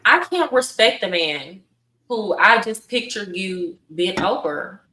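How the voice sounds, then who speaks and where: Bright-voiced woman, roomy and distant sound. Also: medium pitch, lively intonation, slow pace. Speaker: podcast panelist. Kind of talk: podcast debate, casual chat. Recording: roomy echo, distant from the mic.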